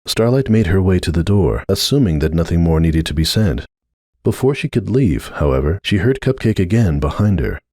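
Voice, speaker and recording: A male narrator giving a dramatic reading of a story. His voice is on the low side with highly varied intonation, recorded very dry. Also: animated, normal speed, a little mellow, no echo. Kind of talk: dramatic audiobook narration of fan fiction.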